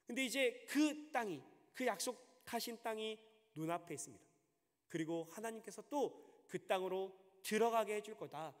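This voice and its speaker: A man's voice: high voice, fairly bright tone. A man in his thirties, a pastor, preaching a sermon earnestly and asking rhetorical questions. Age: 30s. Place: church sanctuary. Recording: long hall reverb.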